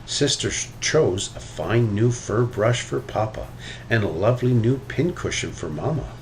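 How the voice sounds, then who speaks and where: Low-voiced man, animated storybook reader. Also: normal speed, slight background noise, rough recording. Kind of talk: reading a children's story aloud.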